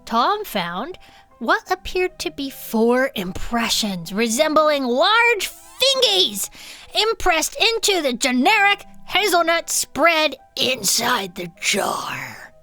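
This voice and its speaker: A woman's voice: high-pitched, sing-song, slow, bright, forceful tone, soft background music, full character voice. A woman playing a chipper, easily sidetracked cryptid-club co-host who reads case reports and banters. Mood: earnest, narrating. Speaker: podcast co-host.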